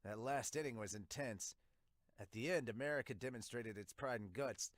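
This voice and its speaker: Male podcast host, mid-pitched, informal and reactive while reading manga aloud, dry close sound. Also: moderate pace, no room sound. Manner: informal, frequently interjecting. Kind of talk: casual reaction and read-aloud manga dub.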